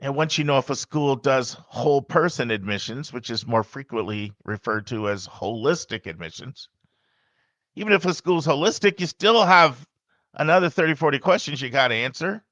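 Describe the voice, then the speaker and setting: Loud, emphatic male podcast host. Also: medium pitch, animated, moderate pace, no room sound. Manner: loud, emphatic and conversational, with asides to the listener. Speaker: podcast host and college admissions advisor. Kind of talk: casual podcast monologue.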